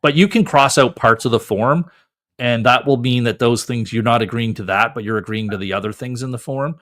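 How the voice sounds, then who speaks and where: A man's voice: medium pitch, fairly quick, fairly bright tone. A man hosting a live call-in show as a lawyer, giving advice in a casual, conversational way. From Canada. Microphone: close mic, wearing headphones.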